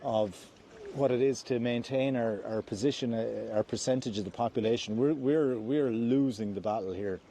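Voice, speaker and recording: An Irish man talks as he walks outdoors, earnest and measured, often going back to restart a phrase. His voice is mellow and dark, at a middling pitch. Faint outdoor noise sits under the talk. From Ireland. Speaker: vlogger. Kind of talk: walking vlog, opinion talk. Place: outdoors on slippery ground near a stream.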